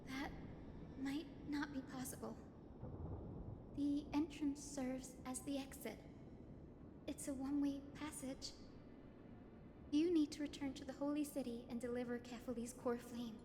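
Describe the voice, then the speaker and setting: A high, girlish game healer, gentle yet resolute. She speaks formally and with measured care. The sound has long reverb and clear noise over it. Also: little pitch movement. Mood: solemn, resolute. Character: gentle, composed young female healer, selfless and resolute. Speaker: game character voice actor. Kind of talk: scripted game dialogue.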